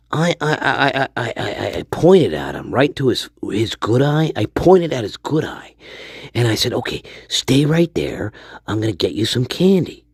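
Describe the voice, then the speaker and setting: A man voicing a crude, foul-mouthed storyteller named Stanley, rambling and swearing, often dropping into a hoarse whisper. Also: medium pitch, no room sound, clean studio sound. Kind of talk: improvised character monologue.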